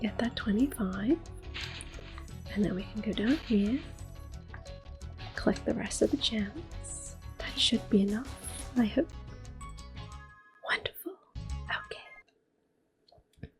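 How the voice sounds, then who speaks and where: A woman giving gentle, unhurried ASMR game commentary in a soft, breathy voice that often drops to a whisper. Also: mid-range voice. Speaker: gameplay host. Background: video game music.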